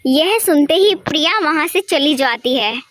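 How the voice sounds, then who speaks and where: A woman's voice: high voice, lively intonation, measured pace, a bit bright, dry recording. A woman storyteller reading scripted narration for a moral tale, with music under her voice.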